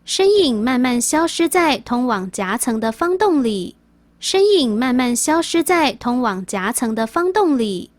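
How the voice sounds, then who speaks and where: A woman's voice: fairly high pitch, sing-song, measured pace, no room sound. A woman with a Taiwanese accent reading out scripted quiz sentences.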